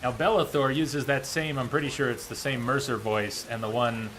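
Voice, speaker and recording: A man's voice: medium pitch, fairly even intonation, moderate pace, a bit bright, slight background noise. A man streaming a video game, thinking aloud in casual, musing commentary. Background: game music and rain.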